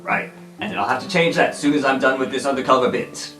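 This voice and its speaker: A man's voice: mid-range voice, fast, roomy sound, distant mic, music in the background, lightly acted. A man playing a peppy, condescending superhero teammate handing out orders in an improvised roleplay podcast. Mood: brisk, self-important.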